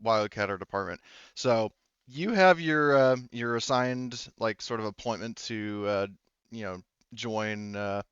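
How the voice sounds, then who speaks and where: Male game master, lowish smooth voice, casual halting narration, close dull-sounding recording. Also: a bit bright, no room sound. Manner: halting, informal, swears casually. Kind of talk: improvised tabletop game narration.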